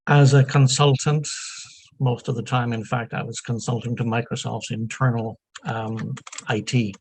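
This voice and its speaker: An older man from Dublin with an Irish accent, answering interview questions in a halting way with many ums and wry asides. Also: medium pitch, level tone, darkish tone, slightly rough, no echo, rough recording. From Ireland. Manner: halting, frequent ums, self-deprecating asides. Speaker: guest, software consultant.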